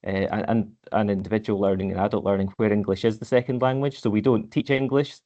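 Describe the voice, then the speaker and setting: A man, a council head of service, answering questions at a committee meeting in a measured, hesitant way with many repeated words. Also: lowish voice, fairly even intonation, warm tone, lo-fi sound.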